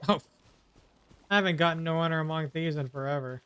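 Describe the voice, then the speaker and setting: A woman's voice: low-pitched, a little fast, a bit bright, slight background noise. A woman casually commenting on her own gameplay, muttering to herself with little hums. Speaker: game streamer.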